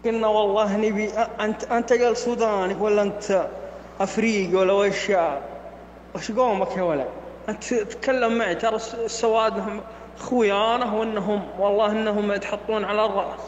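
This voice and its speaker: A man's voice: high-pitched, fairly even intonation, fast, echoey room, in a large hall, highs cut off, slight background noise, lightly acted. A man putting on a Saudi Bedouin accent to play a bossy, teasing Saudi man in a prank voice message. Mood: gruff questioning. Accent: put-on Saudi Bedouin Arabic. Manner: teasing, questioning, folksy.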